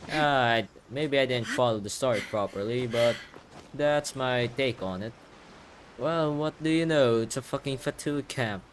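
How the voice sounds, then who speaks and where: A man's voice: medium pitch, moderate pace, a little hiss or hum. A man giving casual, sarcastic and profane commentary as he records himself playing a video game. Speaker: gamer recording a playthrough. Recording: game music behind the voice. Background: video game music.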